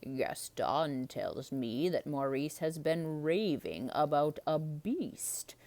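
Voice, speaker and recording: A woman voicing a grave, sinister male stranger in a storybook, speaking in an ominous, drawn-out way. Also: sits low, animated, a little mellow, no echo, voice pushed down, exaggerated voice. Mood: menacing. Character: sinister strange man, grave asylum keeper.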